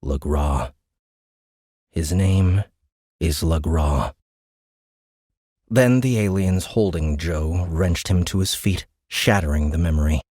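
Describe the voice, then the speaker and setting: A man narrating a science fiction audiobook in a measured, suspenseful storytelling manner, close to a studio microphone. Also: low voice, darkish tone, dry recording.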